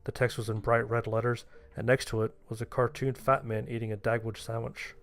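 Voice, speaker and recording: A man's voice: mid-range voice, level tone, brisk, close to an everyday voice. A man playing a sheltered, anxious young survivor, narrating his story in the first person in a plain-spoken way. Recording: music behind the voice.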